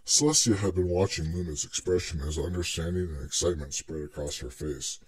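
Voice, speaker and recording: Male narrator for scripted fiction, low register with a husky grain. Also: a little fast.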